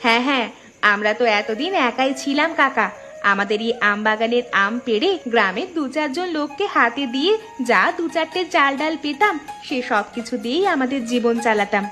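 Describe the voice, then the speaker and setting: A woman's voice: high-pitched, animated, brisk, sharp tone. A woman voicing a trusting, polite young village girl in a cartoon folktale. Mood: earnest. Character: trusting, eager poor young village girls. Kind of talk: Bengali cartoon folktale acting. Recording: music bed behind the voice.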